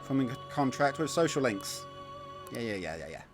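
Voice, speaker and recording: A man's voice: medium pitch, sing-song, brisk. A man streaming a game with casual, rambling live commentary, wry and sweary. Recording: game music behind the voice.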